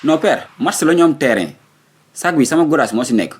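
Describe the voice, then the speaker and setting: A man's voice: on the high side, lively intonation, background noise, natural voice. A man voicing a determined, evasive young son in a comic cartoon, with a Senegalese accent and an argumentative way of talking. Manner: argumentative, quick excuses.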